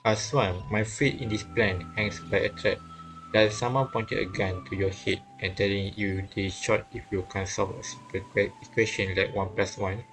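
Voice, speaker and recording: A man reading and translating a visual novel aloud, haltingly, often correcting himself and repeating phrases. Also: lowish voice, steady tone, rough recording. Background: game music.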